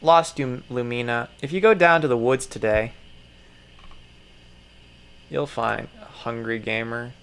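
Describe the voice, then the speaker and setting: A man giving casual, profane running commentary, muttering and then breaking into sudden loud outbursts, his throat strained. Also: medium pitch, a little slow, pauses often, smooth. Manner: swears a lot, mutters, jumps to loud outbursts. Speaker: streamer reacting to videos.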